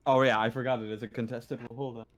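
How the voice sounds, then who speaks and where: A man running a tabletop game as game master, narrating casually in a halting, sweary way. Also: medium pitch, level tone.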